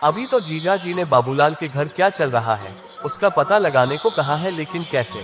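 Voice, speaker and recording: A sly, talkative young brother-in-law, voiced by a man for a cartoon story. The voice swings up and down and sits a bit high, with clear music playing underneath. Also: normal speed, close to an everyday voice. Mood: grumbling. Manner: chatty and lively. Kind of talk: cartoon voice acting.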